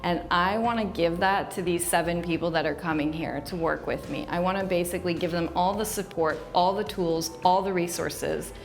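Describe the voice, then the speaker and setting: A woman with a somewhat low voice talks frankly to an interviewer, her thoughts rambling and often turned against herself. Faint background music plays under her. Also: fairly even intonation, moderate pace, hardly any pauses. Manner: candid, rambling, self-deprecating. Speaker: agency coach and show host. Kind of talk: candid interview to camera.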